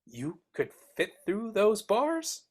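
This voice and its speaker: A woman's voice: mid-range voice, sing-song, slow, husky, exaggerated voice. A woman playing a self-assured male storyteller who bosses a sidekick around in a live dramatic reading, dry and matter-of-fact. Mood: exasperated, urging.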